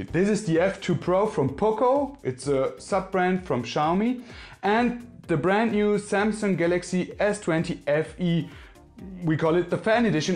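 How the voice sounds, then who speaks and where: A man speaking English with a German accent, his first language being German, reviews phones in a casual, friendly way. His voice sits a little high for a man, and he sounds slightly nervous, often stopping to correct himself. Faint music plays underneath. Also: a little mellow. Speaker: tech reviewer.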